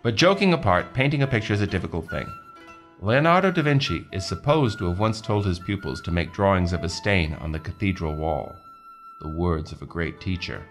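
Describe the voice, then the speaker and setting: An actor playing a glib, unfazed, showy aesthete in a radio story, with a pompous, airy manner. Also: male voice, deep voice, animated, fairly quick. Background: music.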